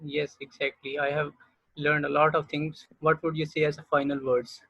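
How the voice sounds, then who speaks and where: A man hosting an online interview, asking short questions in a slightly high, flat voice. The audio is dull and lo-fi from a video call, with no room around it. He keeps his delivery plain. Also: normal speed, no room sound. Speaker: interview host.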